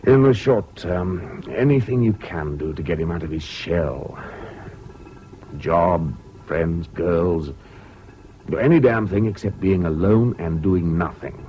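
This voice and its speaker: An actor with a British accent playing a frank psychiatrist in a 1970s radio drama, blunt and matter-of-fact. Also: male voice, deep voice, dark tone. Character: blunt, frank male psychiatrist giving advice. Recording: dull, noisy old radio recording.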